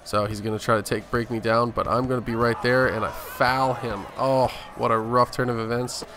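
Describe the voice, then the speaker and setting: A man's voice: mid-range voice, fairly even intonation, moderate pace. A man hosting a gaming video, giving casual, conversational play-by-play of a basketball game. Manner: conversational play-by-play in present tense. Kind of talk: casual gameplay commentary. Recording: clear game noise behind voice. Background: basketball game sounds.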